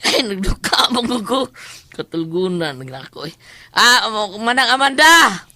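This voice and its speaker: A man playing a loud, evasive, defensive character on a phone call in an audio drama. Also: sits high, expressive, a little slow, no room sound, exaggerated voice.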